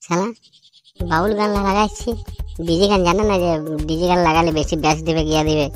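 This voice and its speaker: Woman doing a low grumbling rival DJ. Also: brisk, faint music behind, lightly acted. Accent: Purulia Bengali dialect. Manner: low muttering, swearing. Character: grumbling rival male village DJ.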